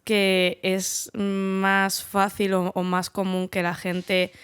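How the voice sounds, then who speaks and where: A woman with a smooth, very clear voice at an average pitch. She chats informally as one of several panelists on a podcast. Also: completely flat delivery, slow. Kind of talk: casual group chat.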